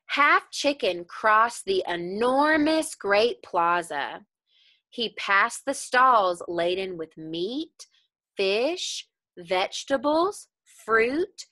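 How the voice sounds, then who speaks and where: A young adult woman teacher reading a story aloud to children and explaining it with simple, deliberate questions. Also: mid-range voice, bright, forceful tone. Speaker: elementary school teacher.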